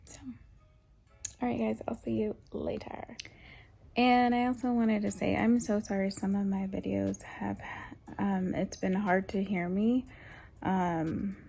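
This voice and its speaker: A young adult woman vlogging in a soft, whispery voice, rambling casually with lots of ums, recorded through headphones used as a mic. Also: medium pitch, flat delivery, a little slow, vocal fry, a little hiss or hum. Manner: rambling, with many ums and restarts. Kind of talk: casual chatty vlog talk. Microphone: headphones used as a microphone.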